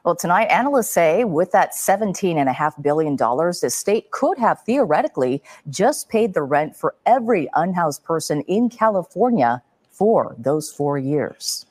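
Animated, lowish newswoman. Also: normal speed. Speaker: news reporter. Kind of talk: scripted TV news report.

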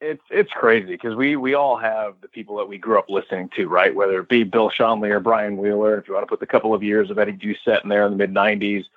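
A man's voice: lowish voice, fairly even intonation, fast, hardly any pauses, no room sound, phone-quality sound. An American man in his thirties, a sports radio announcer, talking conversationally and at length as an interview guest. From Westchester County, New York, United States. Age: 30s.

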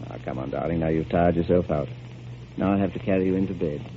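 A man's voice: low-pitched, quick pace. An actor playing a tender, anxious father in a radio drama, with a soothing, hesitant storytelling manner. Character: tender, anxious father spinning fanciful tales. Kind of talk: 1940s radio drama acting. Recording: muffled old radio recording, faint noise.

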